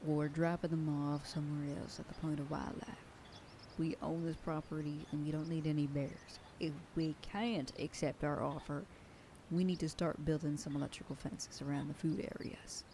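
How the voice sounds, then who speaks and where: A woman's voice: low-pitched, warm tone, a little hiss or hum. A woman voicing a bossy female werewolf sheriff who lectures her pack in an ASMR roleplay, sometimes dropping to a whisper. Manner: stern, commanding, lectures a group. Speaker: voice actor.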